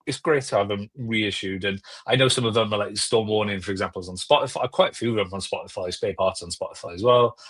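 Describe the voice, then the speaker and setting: Low-voiced man chatting as a podcast panellist. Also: fairly bright tone. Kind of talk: casual chat.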